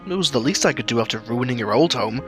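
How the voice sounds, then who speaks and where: A man voicing a proud, eager and surprisingly gentle demon friend in a narrated story, earnest and a little showy. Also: mid-range voice, expressive, quick pace, soft background music, natural voice. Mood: nonchalant, friendly.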